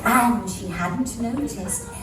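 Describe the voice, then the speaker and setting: A woman's voice: a little high, expressive, slow, far from the mic, noisy background. A woman playing a playful, bumbling storyteller in a children's puppet show, exaggerated and addressing a young audience in an echoey theatre. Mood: flustered. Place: indoor theatre, recorded from the audience area. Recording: echoey theatre from afar, music behind.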